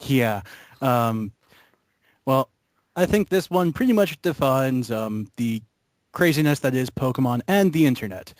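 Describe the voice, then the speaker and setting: A man's voice: mid-range voice, lively intonation, a little slow, no echo. A young man chatting casually in a group, explaining things in a somewhat hesitant way. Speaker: member of an online gaming video group.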